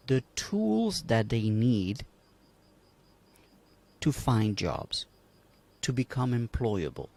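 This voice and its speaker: A man's voice: mid-range voice, darkish tone. A man from Colombia speaking emphatically on a livestream panel, asking rhetorical questions. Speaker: panel guest.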